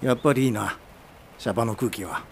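An actor in a radio drama playing a crude, proud old film director, curt and teasing, with a gravelly voice. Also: male voice, medium pitch, fast talker, slight background noise, natural voice. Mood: gruff, wry. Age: older. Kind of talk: Japanese radio drama acting.

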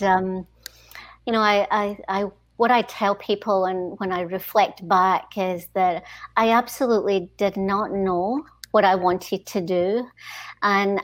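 A middle-aged Scottish woman speaks as a podcast guest, reflecting on her past in a measured, conversational way. She pauses often, starts sentences over, and lands each point with quiet assurance. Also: medium pitch. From Scotland. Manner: thoughtful, frequent "um" pauses and repeated starts. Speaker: podcast guest, HR leadership consultant. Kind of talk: conversational interview.

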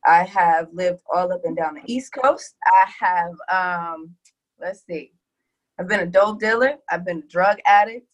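A woman's voice: fairly low pitch, unhurried, muffled recording. A woman from the South, speaking candidly as a podcast guest, hesitant and full of ums. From Deep South of the US. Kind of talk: casual introduction.

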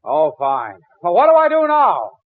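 A man's voice: high-pitched, huge pitch swings, measured pace, heavily acted. An actor playing a blustering, easily exasperated middle-aged American father in a 1940s radio comedy. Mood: grumbling. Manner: blustering, fussy, sputtering. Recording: muffled, narrow-band 1940s radio recording.

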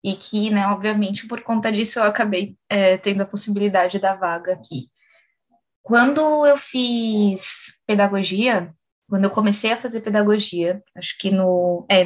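A woman in her twenties, a university student, speaking reflectively about her studies with thoughtful pauses. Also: mid-range voice. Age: 20s. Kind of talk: testimonial interview.

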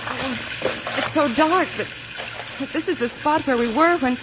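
A woman's voice: high voice, a little slow. An actress playing an earnest, anxious young frontier woman, pleading and melodramatic, in a 1950s radio western. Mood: frightened. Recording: dull, noisy old radio recording.

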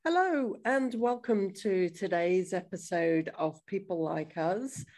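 A woman's voice: on the low side, takes it slowly, darkish tone. A woman hosting a podcast, welcoming her guest and asking questions, sometimes repeating words as she goes. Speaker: podcast host.

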